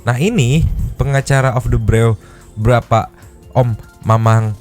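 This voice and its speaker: A man hosting a casual podcast, reacting to clips with animated, teasing commentary. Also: medium pitch, measured pace, soft background music. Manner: animated, joking, teasing asides. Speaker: podcast host.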